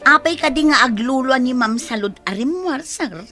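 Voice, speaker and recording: An actress playing a deferential but probing household helper in a radio drama. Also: female voice, sits high, expressive, moderate pace, pushed and bright, soft background music. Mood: concerned, probing.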